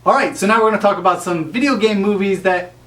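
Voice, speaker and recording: A man chats casually as co-host of a video game show. His voice runs a little high for a man. He is recorded slightly off the mic. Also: quick pace, fairly bright tone, far from the mic. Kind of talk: casual chat about games and movies.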